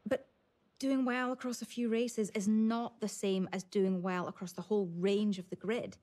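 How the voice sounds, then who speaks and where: A woman playing a sober motorsport pundit, giving measured, emphatic broadcast commentary in a game. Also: medium pitch, level tone, dark tone, close to an everyday voice. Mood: grave, analytical.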